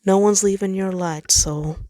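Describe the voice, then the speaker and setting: A woman voicing a gentle, protective male planet with a soft, soothing murmur in a story reading. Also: medium pitch, steady tone, measured pace, no room sound, studio quality, lightly acted. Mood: concerned reassurance.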